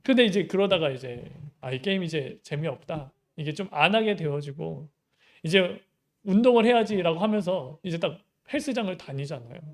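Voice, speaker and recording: High-voiced man preaching conversationally. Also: animated, normal speed. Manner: conversational preaching with many rhetorical questions. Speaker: pastor. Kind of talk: sermon.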